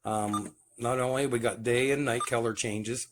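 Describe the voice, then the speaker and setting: A man's voice: mid-range voice, steady tone, normal speed. A man giving a casual, hands-on demonstration as the host of a home video. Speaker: host demonstrating CB radio gear. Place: at home by a radio base station. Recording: clear background noise, clicks.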